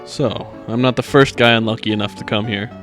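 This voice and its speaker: Man playing a surly, gruff young tough guy. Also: lowish voice, fairly even intonation, brisk, a bit bright, lightly acted. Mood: wry, guarded. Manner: blunt, curt, surly. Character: very tall, gruff, irritable young man, belligerent. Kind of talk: anime fan fiction character acting. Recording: music behind the voice.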